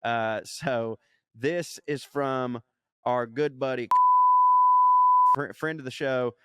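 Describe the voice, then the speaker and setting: A man's voice: medium pitch, slow, fairly bright tone, slight background noise. A man co-hosting a podcast, reading a listener email aloud with profane, laughing asides. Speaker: podcast co-host.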